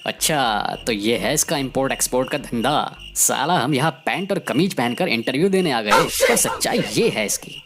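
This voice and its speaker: A very low-pitched, swooping female-acted village man from a cartoon folk tale, cocky and scheming. He talks emphatically and colloquially, quick to scoff and boss people around, with music playing underneath. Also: animated. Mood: indignant, sly. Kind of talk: animated Hindi moral story dialogue. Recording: music behind the voice.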